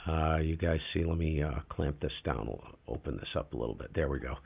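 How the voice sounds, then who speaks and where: A man with a very low voice running a casual live ham radio demo, talking to himself as he adjusts settings. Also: fairly quick, gravelly, slightly rough, phone-quality sound. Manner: relaxed, talks to himself while adjusting gear. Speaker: ham radio livestream host.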